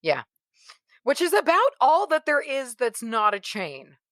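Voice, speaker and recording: A woman's voice: sits high, huge pitch swings, measured pace, fairly bright tone, no echo, studio quality. A woman from Texas co-hosting a podcast in casual chat, adding wry asides to the stories while she is losing her voice. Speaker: podcast co-host.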